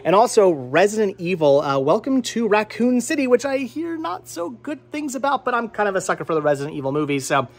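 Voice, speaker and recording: A man's voice: high-pitched, big pitch swings, a little fast, hardly any pauses. A man in his thirties hosting a casual shopping vlog with a jokey, teasing manner, talking into a handheld camera in a small shop. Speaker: vlog host, movie collector. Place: indoors, small cluttered movie and game shop. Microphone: handheld camera at arm's length.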